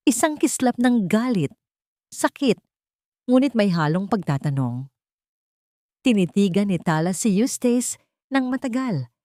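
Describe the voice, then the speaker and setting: A woman reading scripted dramatic narration as an audio storyteller, in a solemn, measured way. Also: medium pitch, a little mellow, dry recording, studio quality.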